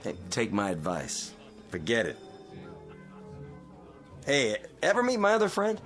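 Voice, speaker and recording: An actor playing a cynical, breezy drinking companion who wisecracks casually, in mid-century television drama style. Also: male voice, medium pitch, wildly swooping pitch, a little slow, fairly bright tone, faint music behind.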